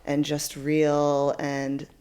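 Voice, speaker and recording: Woman host wrapping up a community event, kinda low voice, gushy and thankful, lots of ums. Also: monotone, drawn-out words, warm tone, slight background noise. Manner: effusive, grateful, many fillers. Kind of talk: closing remarks at a community event. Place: indoor event space with audience.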